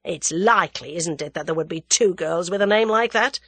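A woman's voice: fairly low pitch, moderate pace, fairly bright tone, husky, dry recording. A woman voicing an angry, suspicious businessman who snarls, growls and fires off curt demands. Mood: snarling, impatient. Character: angry, bullying, suspicious businessman, blustering villain.

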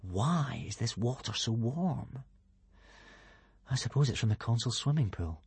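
A man's voice: mid-range voice, very animated, brisk, breathy edge, no echo, pitched above the performer's own voice. A man voicing a thoughtful, level-headed young Viking boy hero in an audiobook, with a wry, understated manner. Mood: puzzled. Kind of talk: audiobook character acting.

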